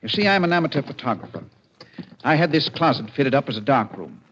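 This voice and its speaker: A man playing a polite, earnest amateur photographer in 1940s radio mystery style, courteous and deliberate. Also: medium pitch, big pitch swings, fairly bright tone. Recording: muffled 1940s radio recording, faint noise.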